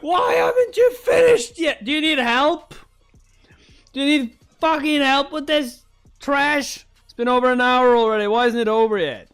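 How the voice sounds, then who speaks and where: Guy doing a bratty kid martial artist, cocky n whiny, pitch jumps all over. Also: animated, normal speed, vocal fry, fairly bright tone, soft background music, full character voice. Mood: exasperated, shouting. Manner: impatient, cocky, whining. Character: bratty, sleepy, boastful young martial-arts prodigy boy. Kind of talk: dramatised webcomic read-along.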